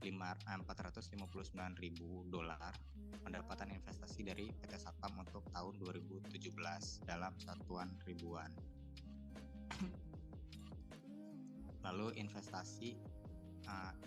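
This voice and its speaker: A man giving a student presentation in a halting, hesitant way. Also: sits low. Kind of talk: presentation explaining accounting slides. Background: guitar music.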